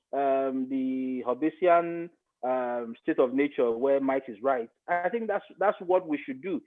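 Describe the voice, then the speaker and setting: A man with a Nigerian accent giving his opinions in a podcast discussion, emphatic and repeating phrases to make his point. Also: medium pitch. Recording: thin, phone-like line.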